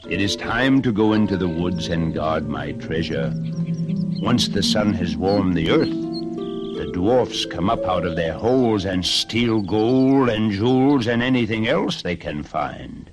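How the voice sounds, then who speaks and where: A great old storybook bear, voiced by a man speaks low and very slowly. He is gentle, courteous and a little weary, with a reassuring manner. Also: animated, loud music behind the voice. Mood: solemn.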